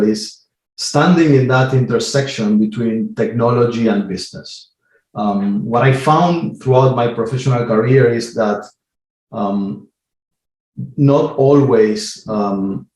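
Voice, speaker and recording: A man in his forties from Argentina, speaking as a guest in an interview. He talks in a measured way, filling pauses with "um" and repeating words as he gathers his thoughts. His voice has a roomy echo around it. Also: mid-range voice, darkish tone. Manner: measured, many "um"s and repeated words while thinking. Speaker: guest, IT team lead. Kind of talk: casual interview talk.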